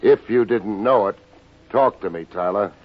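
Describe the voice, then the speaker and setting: An actor playing a wry, hard-boiled American private investigator in a 1950s radio detective drama, terse, wisecracking and deadpan. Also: male voice, lowish voice, measured pace. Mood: pressing, tough. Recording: dull old radio recording, faint music.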